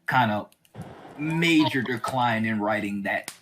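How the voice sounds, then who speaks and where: A man with a bright, forward voice in a casual, opinionated chat. He rambles in colloquial phrases and circles back to stress his point. There is some faint noise and clicking on the recording. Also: on the low side, takes it slowly. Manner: rambling, colloquial, repeats for emphasis. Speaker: podcast host.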